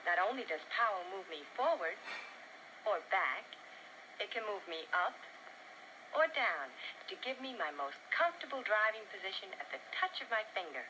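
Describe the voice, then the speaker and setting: A woman giving a chatty, demonstrative scripted sales pitch in the style of a 1950s television car commercial, on a vintage soundtrack. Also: very animated, normal speed, background noise. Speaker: commercial spokeswoman.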